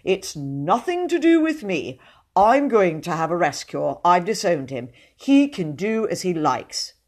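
A fed-up middle-aged father, as a woman reading a storybook puts him on, louder and firmer than her narration. He grumbles his points with emphatic, drawn-out stresses. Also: fairly low pitch, big pitch swings, full character voice. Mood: exasperated.

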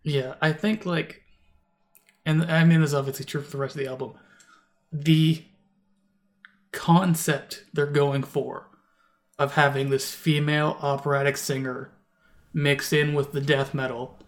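A man with a slightly higher voice co-hosts a casual album review chat. He rambles and restarts his sentences often, leaning on fillers as he works through his points. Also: flat delivery, unhurried, long pauses. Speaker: podcast co-host.

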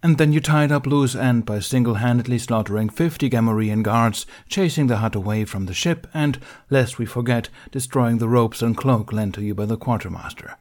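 A man's voice: medium pitch, normal speed, few pauses, pitched above the performer's own voice, close to an everyday voice. A man voicing a stern senior warrior-monk who lists charges in a clipped, formal, reproachful way in an audiobook reading. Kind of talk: audiobook reading of fan fiction.

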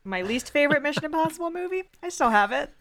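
A woman's voice: high voice, lively intonation, fast talker, fairly bright tone. A woman chatting casually as a podcast quiz contestant, joking and playfully argumentative. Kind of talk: casual comic chat.

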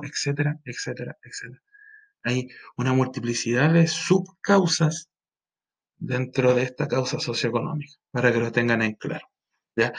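Gravelly Chilean male teacher. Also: medium pitch, a bit bright. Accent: Chilean Spanish. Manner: rambling, with many fillers and checks like "¿ya?". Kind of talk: recorded class lecture.

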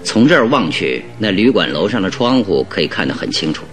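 Radio drama detective: very low voice, shrewd, exacting, deliberate and commanding. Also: male voice, sing-song, soft background music. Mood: firm, instructing. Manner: deliberate, instructing, emphatic. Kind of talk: Mandarin radio drama acting.

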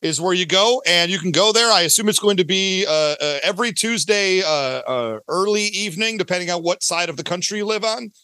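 A man with a high voice speaks as a guest in a casual interview. His tone is bright and forward, and he talks at length, rephrasing as he goes. The sound is dry. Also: a little fast, few pauses, no echo. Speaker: guest, audio drama creator.

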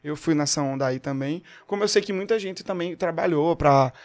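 Young pernambuco guy, singer on a podcast, kinda high voice, tells stories with lots of energy. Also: a little fast. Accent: Pernambuco Portuguese. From Pernambuco, Brazil. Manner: animated, storytelling, quotes people. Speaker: singer guest. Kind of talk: casual podcast chat.

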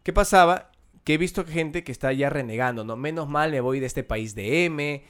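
Peruvian man, casual co-host, higher than usual pitch, animated, stumbles and restarts. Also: moderate pace, clear, resonant tone. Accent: Peruvian Spanish. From Peru. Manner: animated, irritated, repeats words and restarts. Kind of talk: casual chat.

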